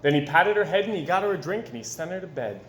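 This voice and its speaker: A man's voice: high-pitched, animated, fast, fairly bright tone. A man reading a children's story aloud in a dramatic, theatrical and emphatic way. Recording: echoey room with background noise.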